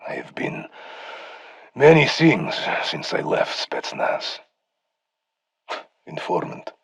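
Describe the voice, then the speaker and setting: Game operative: weary soldier, wry, rough fry, animated intonation, dry close sound. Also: male voice, on the low side, slow, dry recording, full character voice. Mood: weary, brooding. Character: world-weary, wry male ex-special forces operative. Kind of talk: scripted game voice lines.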